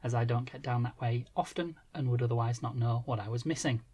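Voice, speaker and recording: A man's voice: mid-range voice, fast, mellow, slightly rough. A man on a podcast panel, chatting casually and reading out messages.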